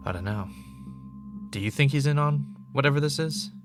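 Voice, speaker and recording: A man's voice: mid-range voice, animated, moderate pace, music in the background, lightly acted. A man playing an anxious, sarcastic investigator in an audio drama. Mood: troubled, earnest.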